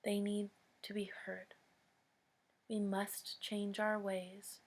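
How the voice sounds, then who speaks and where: American woman doing a serious public address, talks real slow, mid pitch, lots of long pauses, very earnest. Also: flat delivery. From United States. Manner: solemn, deliberate, with long pauses between short phrases.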